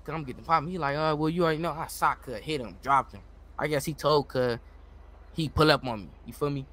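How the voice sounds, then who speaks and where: A man's voice: fairly high pitch, quick pace, a bit bright. An American man improvising gangster roleplay in a game, talking in profane street slang with repeated check-in phrases. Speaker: online roleplay player.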